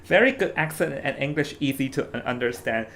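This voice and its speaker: A young man from Hong Kong with a Hong Kong accent, chatting casually as an esports commentator, animated and stumbling over restarts. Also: mid-range voice, fairly quick. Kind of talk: casual stream commentary chat. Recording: faint background noise.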